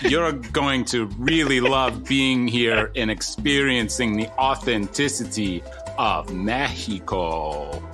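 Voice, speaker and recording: Casting: slow, deliberate, bright-toned greeter. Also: medium pitch, faint music behind. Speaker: podcast guest or co-host. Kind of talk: comedy improv.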